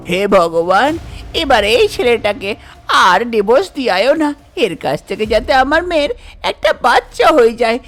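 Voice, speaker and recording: A woman narrating a scripted cartoon story as a storyteller. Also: fairly high pitch.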